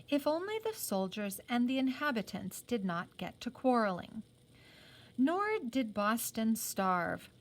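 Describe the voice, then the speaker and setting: A woman reading a story aloud in a careful, teacherly way, with occasional explanatory asides. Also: on the high side. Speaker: reader of a children's novel aloud.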